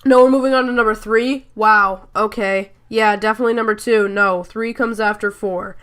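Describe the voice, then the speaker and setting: A woman's voice: medium pitch, steady tone, normal speed, fairly bright tone, clean tone. A young woman with a stuffy nose and sore throat, rambling through a chatty gaming voice-over full of fillers and self-corrections. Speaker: gaming YouTuber. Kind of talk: casual voice-over commentary.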